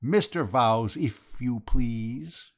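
Guy doing a brash cockney bloke, shouty and indignant, drops his h's, storybook character. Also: medium pitch, slow, voice pushed up. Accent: working-class London. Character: brash, gloating working-class cockney man, flushed with triumph.

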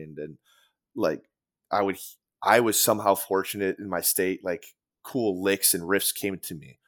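Man with a very deep voice as a loose, rambling podcast guest who swears casually. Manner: rambling, many fillers and restarts, casual swearing. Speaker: podcast guest, former band guitarist. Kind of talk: casual conversation.

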